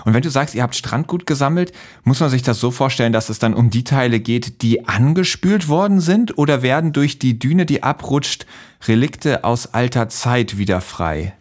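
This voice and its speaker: A man's voice: mid-range voice, lively intonation, moderate pace. A man hosting a podcast interview in a conversational, attentive way.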